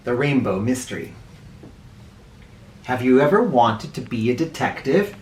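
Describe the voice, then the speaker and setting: A man reading a children's story aloud as a storyteller, in an animated, theatrical way with drawn-out emphasis. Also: mid-range voice, slight background noise. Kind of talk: expressive storybook read-aloud. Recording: roomy echo, distant mic.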